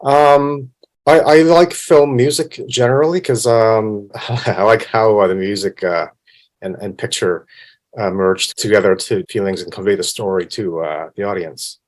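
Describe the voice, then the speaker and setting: A man's voice: on the low side, a little slow. A man from Canada, a guest on a podcast, answering casually with frequent laughs and restarts. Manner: relaxed, laughs often, many restarts. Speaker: film composer, guest. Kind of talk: casual interview.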